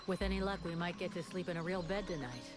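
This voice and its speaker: Woman doing a snarky cussing mercenary girl, talks fast, game music loud behind. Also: on the low side, close to an everyday voice. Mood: blunt. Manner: blunt, sarcastic, swears. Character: foul-mouthed young female mercenary. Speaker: game protagonist.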